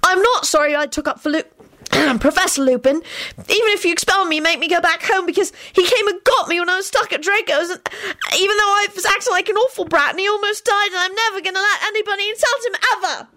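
A woman's voice: very high voice, fast talker, sharp tone, voice pushed up. A woman reading a story as an earnest, nervous young schoolboy who blurts out his words hesitantly. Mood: defiant, emotional. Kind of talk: audiobook character voice.

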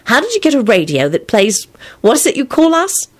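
A woman acts out a sweet, excitable schoolgirl in a story. The voice is pitched well up and swings freely with impulsive feeling. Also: a little fast. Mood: earnest, curious.

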